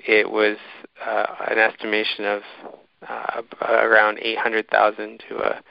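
A man hosting an interview, speaking haltingly and searching for his words, on slightly dull audio. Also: lowish voice, monotone, gravelly, dry recording. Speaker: interviewer and host introducing a guest. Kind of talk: hosting an online community talk.

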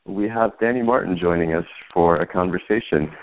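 A man hosting an interview over a video call, speaking in a measured, reflective way. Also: low voice. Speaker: host. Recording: dull lo-fi call audio.

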